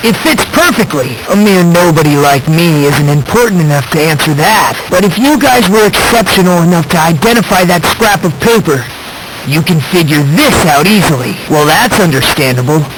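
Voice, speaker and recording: A young man playing a polite, eerily calm teenage boy in a video game, self-deprecating yet needling, with an airy, teasing, probing delivery. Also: a little high, moderate pace, close to an everyday voice. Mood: smug, explaining. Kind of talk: video game voice acting, courtroom mystery dialogue. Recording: noisy compressed handheld game audio.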